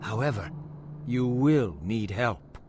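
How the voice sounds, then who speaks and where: An actor playing a mysterious, solemn prophet in a fantasy game, speaking with foreboding authority in an oratorical, theatrical way. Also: male voice, medium pitch, expressive, slow pace, darkish tone. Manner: portentous, oratorical. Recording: game music behind the voice.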